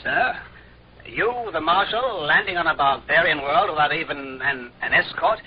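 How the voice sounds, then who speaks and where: A man's voice: fairly high pitch, normal speed, a crowd in the background, natural voice. An actor playing a dutiful, nervous male spaceship officer, deferential to his superior, in a 1950s radio drama. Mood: deferential, uneasy. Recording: noisy, dull 1950s radio recording.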